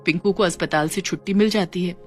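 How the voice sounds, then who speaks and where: A woman's voice: fairly low pitch, fast talker, over loud music. A woman reading scripted narration for a moral story.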